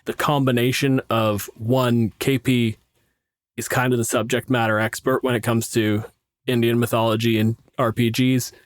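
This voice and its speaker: A man's voice: medium pitch, little pitch movement, normal speed, no echo, clean studio sound. A young man chatting casually as a podcast co-host, self-deprecating and sweary, telling stories with quoted asides. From Canada. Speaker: podcast co-host and game master.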